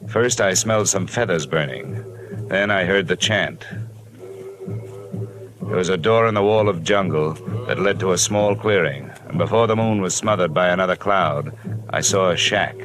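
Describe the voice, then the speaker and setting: A hard-boiled insurance investigator played by a man talks in a low, wry voice. His delivery is clipped and sardonic, in classic radio detective style. Music is clearly present behind him. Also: moderate pace, lightly acted, rough recording. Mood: tense narration. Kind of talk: old-time radio detective narration and dialogue.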